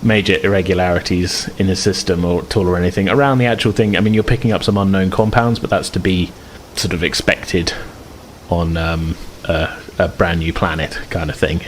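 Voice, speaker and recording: A man's voice: sits low, fairly even intonation, a little fast, slight background noise, low-quality recording. A man improvising casual narration as game master, explaining things in a rambling, hedging way.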